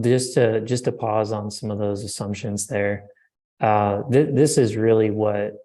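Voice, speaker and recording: Low, dark-voiced man hosting a call. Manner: conversational, with hedged follow-up questions. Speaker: interview host. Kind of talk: hosting a business interview on a video call. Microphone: video call.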